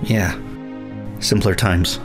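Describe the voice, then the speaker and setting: A man voicing an earnest, awkward, stammering young man in an animated fan series. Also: low-pitched, takes it slowly. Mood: awkward, flustered. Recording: background music under the voice.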